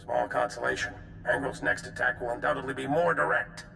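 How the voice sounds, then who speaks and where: A commanding older general from a space video game. His voice is gravelly and sharp, with a grave, resolute delivery in an echoing room. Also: medium pitch, steady tone, a little fast. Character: resolute aging male Republic military general. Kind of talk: dramatic video game acting. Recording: roomy echo, faint music.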